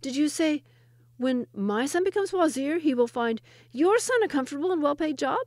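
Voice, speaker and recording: Woman playing a touchy, high-voiced indignant royal minister. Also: lively intonation, normal speed, darkish tone, no echo, clean studio sound. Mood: affronted. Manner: indignant, ranting. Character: proud, touchy male royal wazir, easily enraged.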